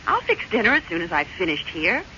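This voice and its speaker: An actress playing a tense, prim American housewife, impatient and scolding, in old-time radio drama style. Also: female voice, lowish voice, wildly swooping pitch, a little fast, sharp tone, exaggerated voice. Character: Laura, tense, fussy housewife obsessed with her house. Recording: dull, noisy vintage radio recording.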